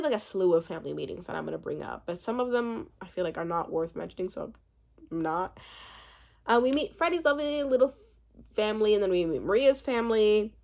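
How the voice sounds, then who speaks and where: A woman in her twenties giving a casual, chatty recap commentary, animated and rambling, often breaking off mid-thought. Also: medium pitch. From born in Uganda, spent part of childhood there. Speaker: YouTube host reviewing a reality show.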